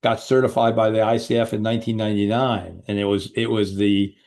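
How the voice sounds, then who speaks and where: A middle-aged man hosting an interview as a coach, enthusiastic, with quick interjections and a few stammering restarts. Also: on the low side, flat delivery, darkish tone. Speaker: host and coach. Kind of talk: interview host chat.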